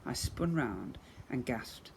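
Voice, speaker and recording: A man reading a children's storybook aloud as a teacher, in an expressive, dramatic storytelling manner. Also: medium pitch, slow pace, vocal fry, a little hiss or hum.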